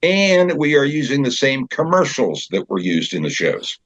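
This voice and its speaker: A man explaining a theater show as its director in an interview, in a conversational, halting way. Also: mid-range voice, very animated, fairly bright tone, no echo. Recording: dull, lo-fi sound.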